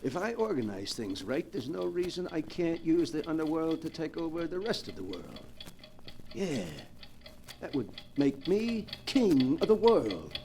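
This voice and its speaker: A man's voice: a little high, sing-song, unhurried, mellow. An actor playing an arrogant, menacing gangster boss in 1940s radio crime melodrama, with tough-guy slang and a commanding manner. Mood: commanding, boastful. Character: arrogant, menacing male gangster boasting he cannot be killed. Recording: noisy vintage 1940s radio recording.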